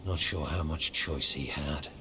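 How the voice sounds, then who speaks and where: A gruff game mercenary with an extremely deep voice. He is terse, blunt and guarded, a bounty hunter speaking in clipped phrases under loud music. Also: fairly even intonation, measured pace. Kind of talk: video game cutscene acting.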